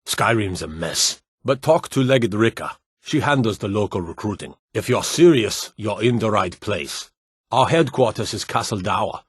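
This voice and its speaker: A low-voiced proud fantasy warrior. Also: male voice, lively intonation, normal speed, breathy edge, no echo, clean studio sound. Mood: dutiful, weary. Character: gruff, proud fantasy Nordic warrior and guard. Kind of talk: dramatic video game dialogue.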